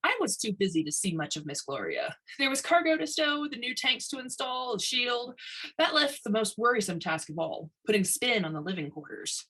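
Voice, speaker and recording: A woman reading a story aloud in an expressive audiobook narration style. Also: a little high, moderate pace, husky, low-quality recording.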